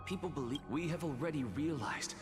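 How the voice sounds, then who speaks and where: An actor playing a proud, defiant scholar in a dramatic game, speaking in a haughty, deliberate way. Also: male voice, a little high, over loud music, natural voice. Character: proud, defiant male scholar, coolly arrogant and theatrical.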